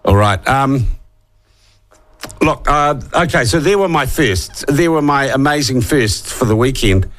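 A middle-aged man with a New Zealand accent, husky from a head cold, hosting a talk radio show in a sarcastic, opinionated monologue. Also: medium pitch, lively intonation, unhurried, a bit bright, faint music behind. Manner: sarcastic, scornful asides.

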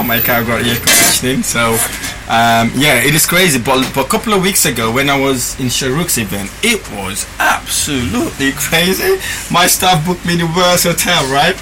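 A man's voice: mid-range voice, expressive, normal speed, hardly any pauses. Rambling man with a London accent, noisy room. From West Africa. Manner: rambling, repeats words and tags "right?". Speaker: guest, app founder. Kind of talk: casual studio chat.

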